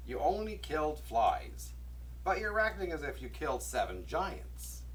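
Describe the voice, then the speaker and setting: Guy reading as a little fairytale bird, exasperated n scolding, lively pitch, slightly distant mic. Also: a little slow, a little hiss or hum. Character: little male bird, chiding, skeptical friend.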